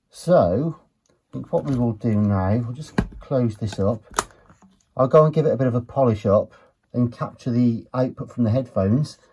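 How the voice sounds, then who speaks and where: A man giving an unhurried, low-key, matter-of-fact commentary as he works on a gadget, muttering to himself at times. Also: mellow, husky. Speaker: retro electronics hobbyist presenter. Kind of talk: casual hands-on teardown commentary.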